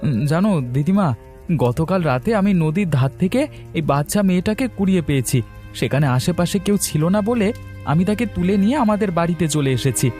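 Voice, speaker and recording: A man voicing a young, scheming village rogue in a cartoon, expressive and conversational. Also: high voice, fairly quick, faint music behind. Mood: eager. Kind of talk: cartoon fairy-tale voice acting.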